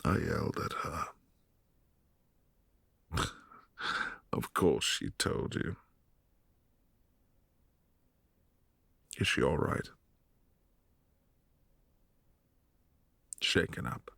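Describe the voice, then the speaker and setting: A brooding, possessive vampire prince, voiced by a man in a boyfriend ASMR audio drama. His voice is low and husky, with a soft, hushed delivery that often drops to a whisper. The recording is close and dry, with no room sound. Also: animated, slow pace, long pauses, no room sound, exaggerated voice.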